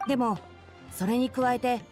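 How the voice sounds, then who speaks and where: A woman's voice: a little high, fast, darkish tone, lightly acted. A woman voicing a youthful, scholarly male forest ranger in a video game, explaining things in a dry, matter-of-fact way. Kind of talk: animated video game voice acting. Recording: game audio over music.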